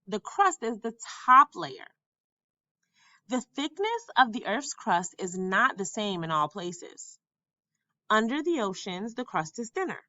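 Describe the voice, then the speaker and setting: A woman reading a children's science book aloud with deliberate, emphatic phrasing, like a teacher for young learners. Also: a little high, pushed and bright, dry recording, dull audio. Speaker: school staff member reading a textbook aloud.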